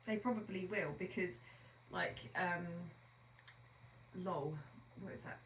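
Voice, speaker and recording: A woman's voice: lowish voice, slow, a bit bright, a little hiss or hum. A woman co-hosting a podcast in casual chat, chatty and teasing, sometimes trailing off while searching for a word. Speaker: podcast co-host. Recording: echoey room.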